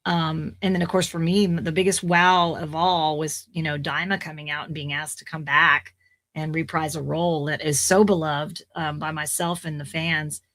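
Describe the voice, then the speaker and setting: A woman in her forties, a voice actor guest on a podcast, chatting in a rambling way with fillers and restarts, her voice slightly raspy. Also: low-pitched, flat delivery, normal speed, fairly bright tone. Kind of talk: casual podcast interview.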